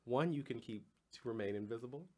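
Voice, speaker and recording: A man's voice: mid-range voice, fast talker, mellow. A man with an American accent hosting a podcast, posing game questions in playful casual chat with teasing, campy slang. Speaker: podcast host.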